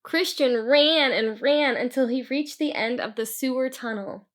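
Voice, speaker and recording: A woman reading a children's book aloud in an expressive storytelling style with deliberate, dramatic emphasis. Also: sits high.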